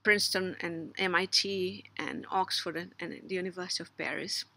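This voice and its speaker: A woman's voice: fairly low pitch, fairly even intonation, normal speed, sharp tone. A middle-aged woman with a Brazilian Portuguese accent, a logician giving a recorded memorial tribute, partly read, hesitant, with many fillers and restarts. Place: outdoors under a patio umbrella in a garden.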